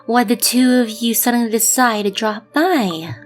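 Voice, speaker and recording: A woman's voice: on the high side, normal speed, natural voice. A woman voicing a proud, irritable female scholar in a fan-dubbed anime game, with a haughty, theatrical manner. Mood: haughty, irritated. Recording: background music.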